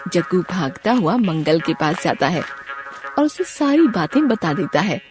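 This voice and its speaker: A woman delivers scripted storytelling narration for a moral tale. She works as the narrator, and soft music plays underneath her voice. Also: lowish voice, big pitch swings, unhurried.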